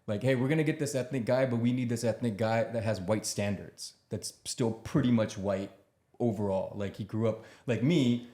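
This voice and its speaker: Man from California, mellow dark voice, echoey room, halting casual talk. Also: fairly low pitch. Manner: hesitant, many fillers and restarts. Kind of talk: casual chat.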